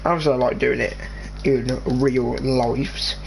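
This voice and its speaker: A teenage boy with an English accent talks over his gameplay, wandering through his thoughts and restarting sentences. His voice sits at an ordinary pitch, and steady background noise runs under it. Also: gravelly. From England. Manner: rambling, halting, restarts sentences. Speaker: gaming channel host. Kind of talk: casual chat over gameplay.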